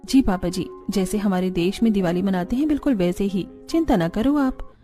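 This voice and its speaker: A woman's voice: medium pitch, normal speed, warm tone, faint music behind, lightly acted. A woman voicing a sweet, dutiful and eager young village bride in a cartoon.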